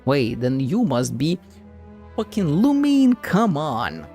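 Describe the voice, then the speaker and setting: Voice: medium pitch, wildly swooping pitch, takes it slowly, warm tone. A game streamer giving casual live reaction commentary, chatty, blunt and sweary. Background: game music.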